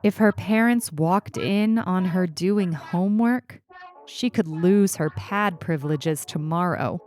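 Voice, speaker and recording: A woman's voice: fairly low pitch, slow, a little mellow. A woman narrating a scripted short story in an audiobook style. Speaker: story reader on a fiction podcast.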